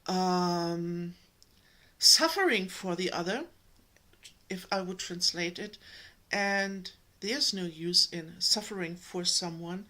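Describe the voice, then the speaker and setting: A woman from Germany with a German accent, giving a slow, gentle spiritual talk to her viewers, pausing often. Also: mid-range voice. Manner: slow, gentle, with many pauses and ums. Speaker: spiritual coach.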